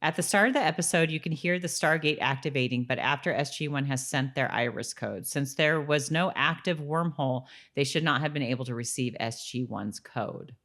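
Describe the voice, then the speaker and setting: A woman's voice: very deep voice, fairly quick, hardly any pauses, a bit bright. A woman co-hosting a podcast in an informal, chatty way, sometimes reading trivia notes aloud. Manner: informal, frequent "like" and "you know". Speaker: podcast co-host. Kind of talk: casual chat, reading trivia and goofs aloud.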